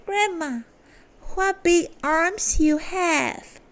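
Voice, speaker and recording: A woman voicing a sweet, innocent little girl in a storybook reading, high and sing-song. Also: animated, takes it slowly.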